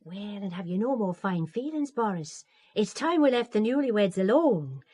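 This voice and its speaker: A woman's voice: medium pitch, sing-song, moderate pace, mellow. A woman playing a bossy, blunt middle-aged Irish aunt in a radio spy drama. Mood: bossy, affectionate.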